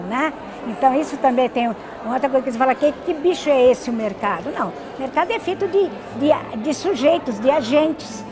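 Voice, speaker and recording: A Brazilian woman academic answering interview questions in an explanatory, halting way, often adding tag questions. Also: sits high, low-quality recording. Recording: busy crowd chatter behind. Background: crowd noise.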